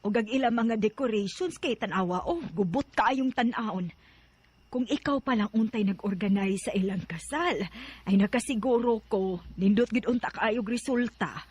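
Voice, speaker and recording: A woman's voice: on the high side, normal speed, a little mellow, breathy edge. An actress playing a caring, sentimental mother in a radio drama, speaking tenderly and coaxingly with a Filipino accent. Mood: coaxing, concerned. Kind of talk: Philippine radio drama acting.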